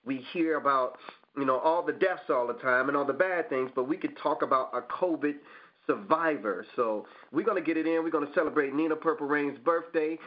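A man's voice: on the high side, brisk. A man hosting an open mic radio show, laid-back and affirming, stumbling over his words at times. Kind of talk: casual hosting chat. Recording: dull lo-fi online radio audio.

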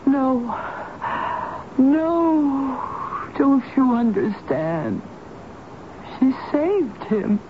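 Defiant grieving woman, emphatic, dreamy, theatrical, wide pitch swings, old radio drama. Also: animated, very slow, long pauses, background noise, exaggerated voice. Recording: muffled old radio broadcast.

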